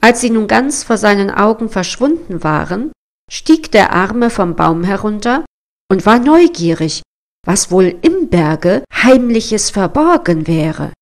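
Female storyteller, medium pitch, slow measured pace, expressive fairy-tale narration.

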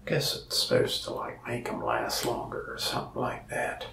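A young man playing a lonely, friendly mask shop salesman in a soft-spoken ASMR roleplay, talking slowly and often slipping into a whisper. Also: very deep voice, huge pitch swings, echoey room, recorded from a distance, a little hiss or hum, full character voice. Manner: slow, soft-spoken, gravelly with frequent whispered stretches. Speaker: ASMR creator. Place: small indoor room, mask wall behind.

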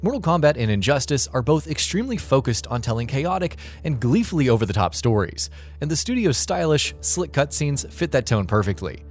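Man narrating with lively intonation over music. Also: mid-range voice, fairly quick. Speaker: video narrator. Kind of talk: scripted narration.

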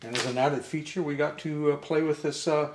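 An older man casually thinking aloud as he demonstrates something hands-on, musing and low-key, picked up by a camera mic indoors. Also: steady tone, fairly quick. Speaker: vintage robot channel host. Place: indoor room with glass display table. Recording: echoey room, clear noise, handling clicks.

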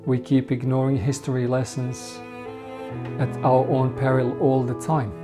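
A man from Bosnia in his forties giving calm, steady documentary interview testimony. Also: mid-range voice, a little slow, over music. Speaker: genocide survivor interviewee. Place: indoor room with bookshelves.

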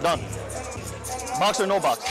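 A young man bargaining at a sneaker expo, calling out prices quickly and bluntly. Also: on the high side, animated. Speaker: sneaker buyer. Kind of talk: casual haggling. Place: busy indoor sneaker expo hall. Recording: busy hall with music and crowd.

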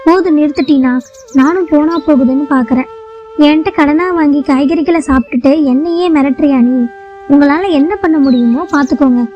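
A woman's voice: sits high, a little fast, lo-fi sound, faint music behind, close to an everyday voice. A woman voicing an honest female bird vegetable seller in a children's cartoon moral story, firm and indignant as she stands her ground.

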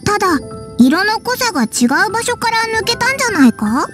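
A woman voicing a tiny, childlike fairy companion in an anime video game, squeaky and blunt, with cheeky boyish phrasing. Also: sing-song, brisk. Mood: curious. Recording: music behind the voice.

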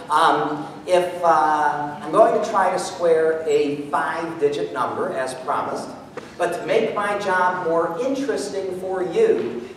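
A middle-aged man performing mental math live on stage, talking through his working out loud like an animated showman. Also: fairly high pitch. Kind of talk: live stage show explaining calculations aloud. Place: indoor theater stage. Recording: echoey hall, far from mic, noisy.

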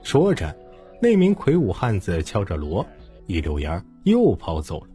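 A man's voice: sits low, huge pitch swings, moderate pace, dark tone. A man narrating a story in a dramatized, scripted audiobook style. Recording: music bed behind the voice.

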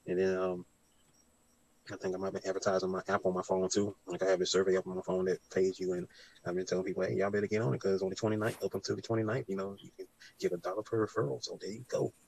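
A man's voice: low voice, level tone, fast talker, gravelly, darkish tone, a little hiss or hum, poor audio. A man chatting casually on a late-night live stream, rambling and using laid-back slang with lots of "you know".

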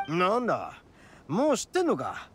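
A man playing a brash, big-hearted young oni gang boss in a Japanese anime game, with rough-edged, blunt street-tough slang. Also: sits high, huge pitch swings, moderate pace, a bit bright, slight background noise, heavily acted. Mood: reluctant, earnest.

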